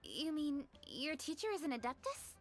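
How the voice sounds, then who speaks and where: High-voiced, proper, principled young woman. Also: very animated, normal speed, soft background music. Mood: businesslike. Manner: formal, firm, matter-of-fact. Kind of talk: English video game voice acting.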